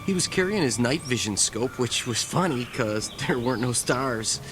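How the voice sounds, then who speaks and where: An actor playing a nervous, hesitant army private with a halting, folksy way of talking, in a radio drama. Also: male voice, medium pitch, expressive, over music, natural voice. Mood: uneasy, hesitant.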